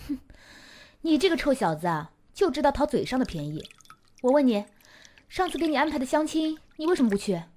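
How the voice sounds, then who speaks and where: A woman plays a bossy older sister in an audio drama, exasperated and nagging. The voice is fairly high and swings widely as she scolds. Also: big pitch swings, darkish tone, a little hiss or hum. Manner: scolding, brisk. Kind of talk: amateur audio drama acting.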